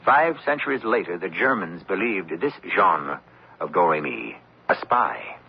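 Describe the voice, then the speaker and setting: A man hosting and narrating a scripted radio drama in a measured, dramatic way. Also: deep voice, very animated, vocal fry, slight background noise. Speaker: host and narrator. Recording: dull old radio recording with music.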